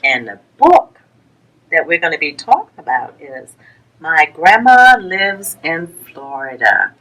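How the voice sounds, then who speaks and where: A woman reading a rhyming children's picture book aloud as a story-time reader, with deliberate, rhythmic phrasing for young listeners. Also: deep voice, big pitch swings, fairly bright tone, rough recording.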